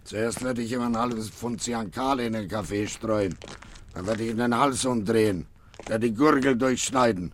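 An actor playing a big, menacing gangster boss in a 1950s radio crime satire, curt, gruff, impatient and threatening. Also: male voice, medium pitch, moderate pace, lightly acted. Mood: vengeful, brooding. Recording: noisy old radio play recording.

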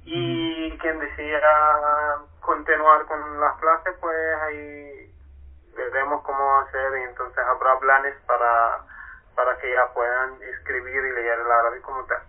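A man's voice: mid-range voice, little pitch movement, slow pace. Man, tinny phone sound. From Palestine. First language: Arabic. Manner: hesitant, many restarts and fillers. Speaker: guest, Arabic teacher. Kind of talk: radio interview.

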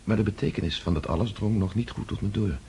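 A man's voice: lowish voice, fast, gravelly, mellow, slight background noise. An actor playing a thoughtful nobleman who has lived through war and speaks earnestly and inwardly in a radio drama. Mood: weary. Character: reflective male nobleman recovering from war.

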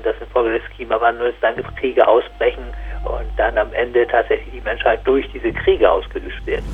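A man's voice: medium pitch, gravelly, narrow, tinny audio. A man speaking as a scientific expert, explaining in a serious, spontaneous way, as in an interview.

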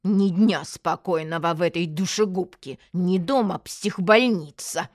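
A woman's voice: on the low side, a little slow, no room sound, studio quality, natural voice. A woman voicing a stout, grumpy female neighbour with a caustic, grumbling manner in an audiobook. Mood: irritated sarcasm.

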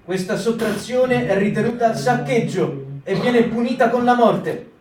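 A man's voice: extremely high pitch, measured pace, crowd noise. A man reading as a stern voice of authority. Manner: stern official proclamation. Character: stern adult male voice of occupying authority. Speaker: reader of wartime decrees. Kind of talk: stage reading for radio. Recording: roomy, distant mic, music behind.

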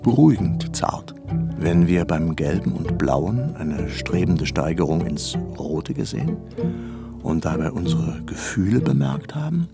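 An actor playing a self-absorbed, pompous great poet who muses to himself in a halting half-murmur, in a radio play. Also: male voice, sits low, big pitch swings, gravelly, loud music behind the voice, heavily acted. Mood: contemplative. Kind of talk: German radio play monologue.

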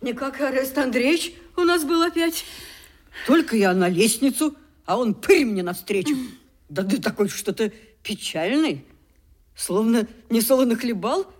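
A woman's voice: fairly high pitch, lively intonation, measured pace. An actress playing a nosy, folksy old mother in a radio drama, fussing and hesitating with many interjections. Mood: nosy, indignant. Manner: hesitant, fussy, colloquial, full of interjections. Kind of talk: theatrical Russian radio drama acting.

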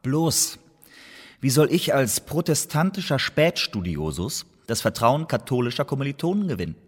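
An actor playing a sceptical English poet pressed into spying in a radio play, musing with dry irony. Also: male voice, medium pitch, very animated, normal speed, slightly rough. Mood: wry, musing. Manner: dry, ironic, hesitant musing. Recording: studio radio play with long reverb.

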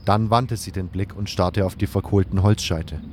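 A man acts out a thoughtful adventurer hero in a fantasy audio drama. His voice sits fairly low and he tells his story in a measured, earnest way, moving between narration and dialogue. Faint noise and birdsong lie behind him. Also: natural voice. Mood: hesitant, tender. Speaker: narrator and lead character of a fan audio drama.

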